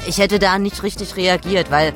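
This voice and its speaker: A woman's voice: on the low side, steady tone, moderate pace, soft background music, lightly acted. An actress playing a caring, self-critical expatriate woman boss, giving a candid conversational monologue in a radio drama. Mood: candid, reflective.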